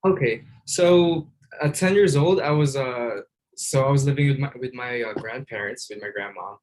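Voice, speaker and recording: A man's voice: medium pitch, normal speed, a little mellow, rough recording. A young man from the Philippines, a podcast guest, telling his story in an easygoing, hesitant way with many fillers. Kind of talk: casual podcast interview.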